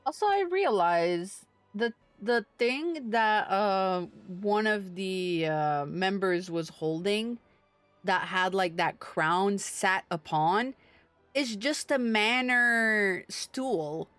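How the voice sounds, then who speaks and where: A woman livestreaming a video game with casual, halting, informal commentary. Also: mid-range voice, expressive, fairly bright tone, clean tone, no echo, faint music behind.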